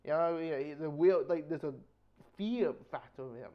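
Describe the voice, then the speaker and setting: A man's voice: a little high, normal speed. A man giving a casual spoken comic book review, rambling and repeating phrases as he goes. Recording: dull, lo-fi audio.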